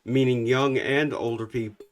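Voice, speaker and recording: A man who works as a youth coach, co-hosting a talk show and speaking in a steady, affirming way. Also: mid-range voice, normal speed. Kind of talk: conversational talk.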